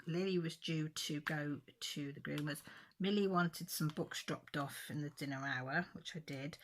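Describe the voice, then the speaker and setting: A woman's voice: low voice, bright, forceful tone, slight background noise. A woman chatting casually at home while crafting, rambling and correcting herself about the days, a little stuffy with a cold. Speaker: crafting vlogger.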